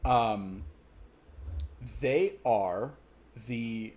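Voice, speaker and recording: A man narrating a tabletop role-playing game as game master, in halting, conversational descriptions. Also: mid-range voice, darkish tone.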